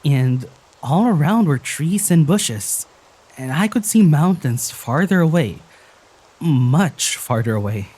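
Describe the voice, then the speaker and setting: A woman playing a weary, wry young traveller, recounting things in a halting, husky voice in an audio drama. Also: low voice, animated, dark tone, full character voice. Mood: subdued recounting.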